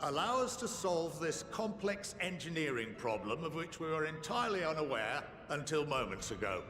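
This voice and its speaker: A man playing a gleefully sarcastic, theatrical scholar in a video game, with wry, drawn-out delivery. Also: a little high, big pitch swings. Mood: amused sarcasm. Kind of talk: scripted video game voice acting. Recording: reverberant game audio under loud music.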